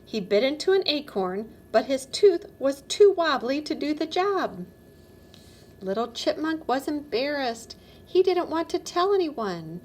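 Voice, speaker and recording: High, animated woman reading a children's story. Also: unhurried. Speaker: storybook reader. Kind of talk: expressive children's story narration.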